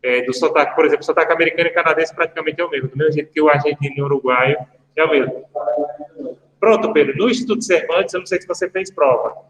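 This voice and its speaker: A male law professor talks casually to his class, drifting into jokey stories. His voice sits a little high. The sound rings with a long, roomy reverb. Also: racing, a bit bright. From Brazil. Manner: chatty, anecdotal, jokey asides to students. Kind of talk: casual online lecture. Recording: echoey room with long reverb.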